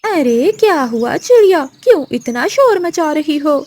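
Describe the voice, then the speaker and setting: A woman's voice: high-pitched, sing-song, unhurried, a little mellow. A woman voicing a lazy, irritable mother crow with a complaining, snappish manner in a moral-story cartoon. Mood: defensive.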